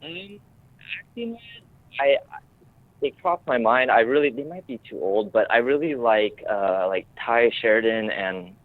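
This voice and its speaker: A man chatting casually as an interviewed screenwriter, informal and self-deprecating, often halting as he searches for words. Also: mid-range voice, lively intonation, no room sound, narrow, tinny audio. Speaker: screenwriter guest.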